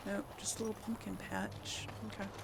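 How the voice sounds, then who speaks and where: A woman's voice: medium pitch, flat delivery, normal speed, vocal fry, background noise. A woman giving casual, chatty game commentary, talking to herself and to the characters as she plays. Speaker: let's play host. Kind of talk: casual live gameplay commentary. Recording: game music under the voice. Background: video game music.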